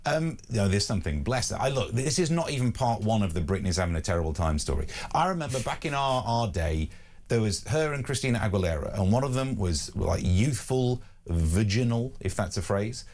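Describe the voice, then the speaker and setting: A man's voice: on the low side, animated, brisk, a little mellow. A British man bantering as a talk show guest, jokey, rambling and self-mocking. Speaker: guest newspaper reviewer. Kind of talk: casual comic banter.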